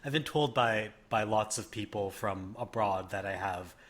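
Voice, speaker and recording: A man with a mild Canadian accent chatting thoughtfully on a podcast, often trailing off softly. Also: on the low side, normal speed. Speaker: podcast panelist. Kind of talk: casual chat.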